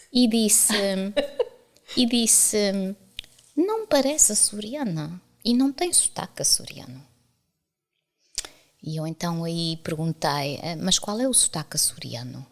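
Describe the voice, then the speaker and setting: A woman's voice: mid-range voice, big pitch swings, measured pace, long pauses. A middle-aged woman from the Azores with a Portuguese accent, an academic guest talking candidly and telling anecdotes in conversation. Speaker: university professor and author, interview guest. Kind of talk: conversational interview storytelling.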